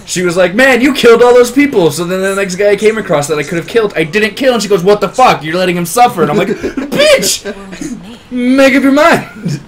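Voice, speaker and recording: A man playing a video game, giving casual, excitable commentary full of swearing and self-mocking banter. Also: sits high, fairly quick. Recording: faint game noise behind voice.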